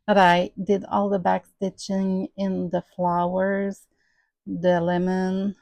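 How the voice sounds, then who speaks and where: Slow, hesitant woman with a Québécois French accent. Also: medium pitch, gravelly, mellow, no room sound. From Quebec, Canada. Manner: hesitant, many "um" and "uh" fillers. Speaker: crafting channel host. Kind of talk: casual show-and-tell vlog.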